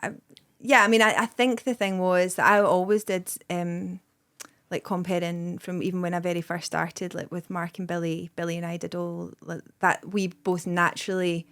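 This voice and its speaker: A woman's voice: lowish voice, flat delivery, moderate pace, fairly bright tone, clean tone. A Scottish woman in her thirties, a guest comedian rambling in casual podcast chat with plenty of "like" and "um". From Scotland. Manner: rambling, lots of "like" and "um", bursts of laughter.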